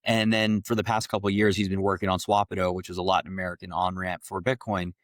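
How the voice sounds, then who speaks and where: A man's voice: low-pitched, little pitch movement, quick pace, no echo, clean studio sound. A man hosting a podcast as an investor, explaining at length in a fluent way with some fillers. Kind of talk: casual interview talk.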